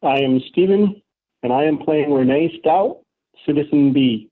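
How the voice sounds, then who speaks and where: A man's voice: a little high, measured pace. A man reading scripted material aloud, heard over a tinny line.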